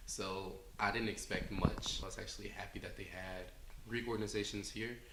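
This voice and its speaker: A man's voice: lowish voice, steady tone, normal speed, creaky, very noisy. A young man from Chicago, a student speaking frankly and matter-of-factly in an interview. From Chicago, USA. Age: young adult. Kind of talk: interview answers. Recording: echoey room.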